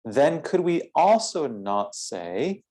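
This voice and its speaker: A man leading a philosophical guided meditation in a measured, deliberate way, with formal phrasing and frequent pauses. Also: a little high, big pitch swings, warm tone.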